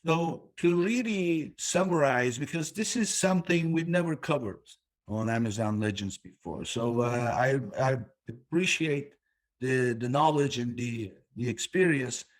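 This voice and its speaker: A man's voice: medium pitch, expressive, unhurried. A man born in Turkey hosting a business interview podcast, talking emphatically and repeating words for stress. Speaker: podcast host.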